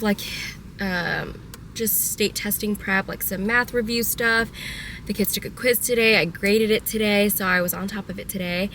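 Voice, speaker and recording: A woman's voice: mid-range voice, background noise. A young woman, a teacher, chatting casually to her phone camera with a stuffed-up nose, filling her talk with "like". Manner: chatty, many "like"s, talks to camera. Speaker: teacher and vlogger. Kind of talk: casual vlog chat.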